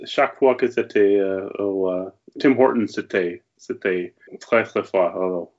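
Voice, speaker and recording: A man from Saskatchewan, a podcast guest whose first language is English, speaking French with an English-speaking Canadian accent, halting and searching for words, slipping into English. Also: lowish voice, a little mellow. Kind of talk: casual chat. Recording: dull lo-fi audio.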